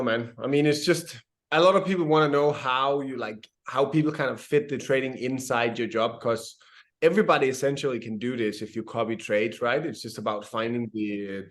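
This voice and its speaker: A man interviewing a guest in a friendly, casual way, calling him "man". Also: mid-range voice.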